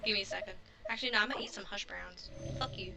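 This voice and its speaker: A woman's voice: mid-range voice, rapid-fire, vocal fry, a crowd in the background. A woman livestreaming a video game with casual, crude, repetitive trash talk. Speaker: game streamer. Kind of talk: casual livestream gameplay chatter. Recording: slightly distant mic under loud game music. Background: loud video game music and dialogue.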